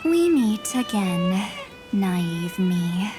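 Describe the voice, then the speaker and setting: A woman's voice: medium pitch, very animated, extremely slow, over music. A woman playing a cool, enigmatic female villain in a video game, languid, condescending and amused. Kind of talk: video game character acting.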